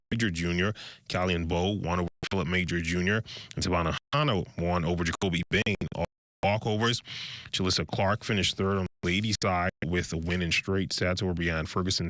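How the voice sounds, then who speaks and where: Deep-voiced man reading sports news. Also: fairly bright tone, dry recording, clean studio sound. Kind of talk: scripted television sports news read.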